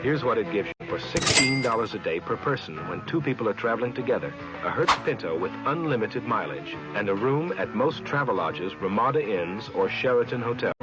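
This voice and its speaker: A male commercial announcer with a lowish voice reads a scripted vintage radio ad. The sound is muffled, with loud music behind him. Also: animated, a little fast, few pauses. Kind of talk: scripted 1970s radio advertisement.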